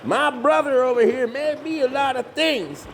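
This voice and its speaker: A man's voice: very high voice, animated, normal speed, a little hiss or hum. A man playing a cocky, crude backwoods monster hunter with a Southern US accent, sarcastic, folksy and bossy, in a comedy horror audio drama.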